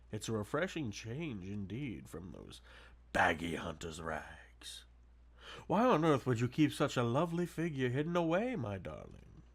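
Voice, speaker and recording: A man playing a sadistic, possessive vampire lord in an ASMR roleplay, suave and mock-polite, with a breathy, purring, theatrical delivery. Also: on the low side, heavily acted. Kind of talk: ASMR audio roleplay, intimate dramatic monologue.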